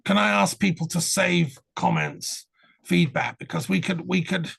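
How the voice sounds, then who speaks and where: A man moderating an online panel over a video call, polite but brisk, keeping speakers on schedule. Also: sits high, gravelly, a bit bright, husky, no room sound, studio quality. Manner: polite, brisk, interrupts to keep order.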